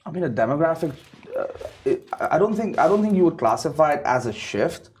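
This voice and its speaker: A man's voice: on the high side, sing-song, brisk, background noise. A man speaking as a guest in a casual panel discussion, often repeating words and ending points with "right?". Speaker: business setup guest.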